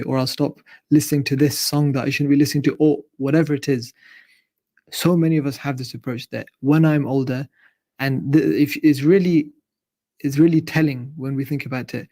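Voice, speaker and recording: Man, radio sermon, earnest, level even pitch. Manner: earnest, repetitive, builds points in lists. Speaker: radio presenter giving an Islamic talk.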